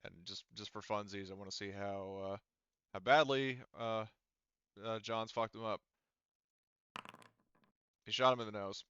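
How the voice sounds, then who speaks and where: A man running a casual online tabletop roleplaying game as game master, thinking aloud haltingly as he works through the rules. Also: lowish voice, little pitch movement, fairly bright tone, clear, resonant tone, dry recording, dull audio.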